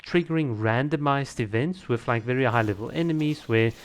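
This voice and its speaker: A man's voice: mid-range voice, a little fast. Man giving casual gaming opinion commentary. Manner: explanatory, lays out ideas with examples.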